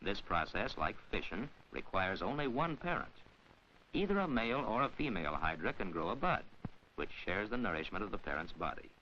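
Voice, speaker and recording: A man delivering formal scripted narration in the measured, instructional manner of a mid-century educational science film, with careful enunciation. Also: on the low side, big pitch swings, sharp tone. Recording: dull, hissy 1940s film soundtrack.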